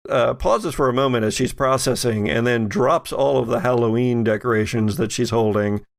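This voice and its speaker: A man's voice: mid-range voice, moderate pace, vocal fry. A man narrating a tabletop roleplaying game as the game master.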